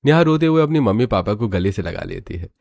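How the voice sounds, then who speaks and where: A man's voice: fairly low pitch, expressive, fast, vocal fry, darkish tone. A man reading scripted, dramatic narration for a cartoon story.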